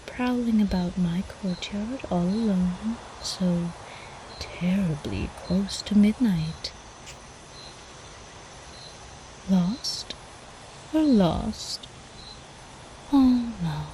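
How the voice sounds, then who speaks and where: Lady acting as an old vampire countess for asmr, slow soft mellow murmur, refined and teasing, crickets behind. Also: mid-range voice, a little hiss or hum, lightly acted, poor audio. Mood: soothing, coy. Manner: slow, refined, coyly teasing, formal old-fashioned diction.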